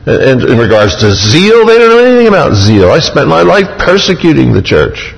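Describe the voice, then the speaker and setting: A man's voice: a little high, very animated, brisk, gravelly. A man preaching a sermon, emphatic, retelling scripture in a vivid first person. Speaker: pastor. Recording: long hall reverb.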